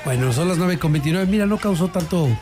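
Higher-pitched Mexican man chatting on radio. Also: lively intonation, quick pace, darkish tone, faint music behind. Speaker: radio talk show host. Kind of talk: casual news chat with co-hosts.

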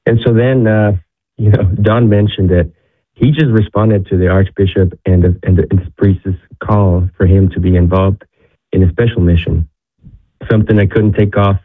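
A young man from Ecuador, a guest telling his story in casual talk with many restarts. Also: sits low. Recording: tinny phone-like line.

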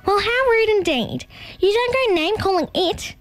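A woman's voice: very high-pitched, lively intonation, moderate pace, no echo, soft background music, pitched above the performer's own voice. A woman voicing a bustling, decisive female emu with a folksy, emphatic manner in a children's story. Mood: indignant.

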